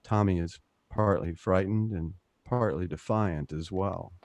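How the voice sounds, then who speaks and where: A low-pitched man reads dramatic narration for a radio play. The sound is dry and close, with hardly any room in it. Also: a little slow, darkish tone, dry recording.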